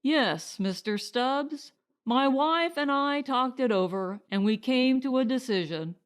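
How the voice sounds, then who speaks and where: Woman reading firm, principled husband, slowly paced. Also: high-pitched, lively intonation, clean tone, voice pushed up. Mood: resolute. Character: principled, blunt husband and would-be settler. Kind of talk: audiobook character dialogue.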